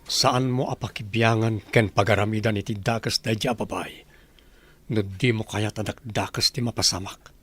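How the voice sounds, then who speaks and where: A man's voice: lowish voice, steady tone, normal speed, fairly bright tone, husky, faint music behind. A man playing a scheming rich husband who coldly threatens his wife, in a radio drama. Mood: menacing. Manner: cold, threatening, commanding. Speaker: master of the house.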